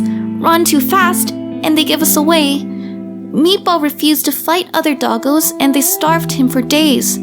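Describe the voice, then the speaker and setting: A woman as a high-pitched, defensive female dog. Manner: blunt, defensive. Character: wary, protective, world-weary female rescue dog. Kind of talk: character acting in a visual novel.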